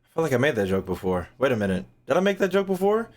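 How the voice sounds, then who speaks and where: Low-voiced, animated woman streamer. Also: very fast. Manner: slangy, profane, blunt. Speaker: streamer reacting to game voice lines. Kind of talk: casual reaction commentary.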